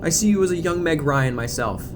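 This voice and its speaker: Man as protective young male deputy, earnest big-brother type, raised pitch, drama with music behind. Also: expressive, a little fast, close to an everyday voice. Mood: friendly.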